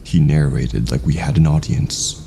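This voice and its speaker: Very deep, gravelly male horror narrator. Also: fairly even intonation, moderate pace, dark tone, a little hiss or hum. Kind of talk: scripted first-person horror narration.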